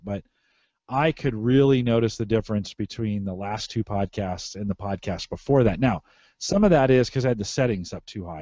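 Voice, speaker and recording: A man's voice: on the low side, mellow, no echo, highs cut off. A middle-aged American man hosting a casual tech podcast, repeating phrases for emphasis. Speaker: podcast host. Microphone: close podcast microphone through mixer.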